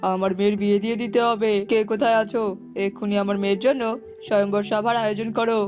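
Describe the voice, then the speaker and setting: A woman's voice: mid-range voice, level tone, quick pace, a little mellow, lo-fi sound, faint music behind, lightly acted. A woman voicing a bird king in a cartoon, an authoritative but caring father. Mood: commanding. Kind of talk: cartoon voice acting.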